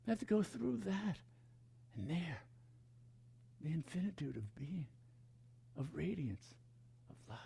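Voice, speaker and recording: A man speaking as a meditation teacher, reading and explaining spiritual teachings in a hushed, deliberate, contemplative way with dramatic pauses. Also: on the high side, sing-song, vocal fry, breathy edge.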